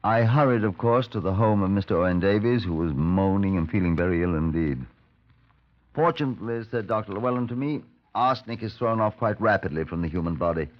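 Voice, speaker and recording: A dull, vintage radio recording carries a man playing a police superintendent. His voice sits low as he tells and questions his way through a case in a matter-of-fact, inquisitive manner, in 1950s radio drama style. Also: animated, fairly quick, lightly acted. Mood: reflective narration. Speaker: narrator and lead actor.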